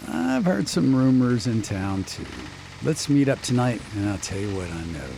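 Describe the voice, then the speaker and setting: An actor playing an easygoing, watchful small-town doctor in a radio drama, with a West Virginia accent. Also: male voice, medium pitch, very animated, moderate pace, creaky, background noise. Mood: friendly, easygoing. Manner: folksy, conversational.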